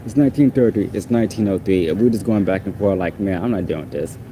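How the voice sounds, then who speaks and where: A man's voice: deep voice, fast, warm tone, slight background noise. A man whose first language is Portuguese, ranting casually and with exasperation in a rambling, repetitive way. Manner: exasperated, rambling, repeats himself.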